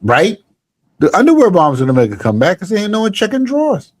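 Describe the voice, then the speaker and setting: A man's voice: high-pitched, huge pitch swings, quick pace, darkish tone. A man hosting a comedy podcast, chatting casually in a rambling, joking way. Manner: rambling, jokey, restarts a lot. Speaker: podcast host.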